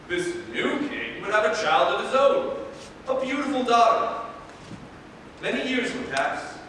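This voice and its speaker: A man playing a solemn fairy-tale narrator in a staged reading, with dramatic, measured delivery. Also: high voice, fairly bright tone. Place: large reverberant room at a live staged reading. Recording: distant echoey hall, faint noise.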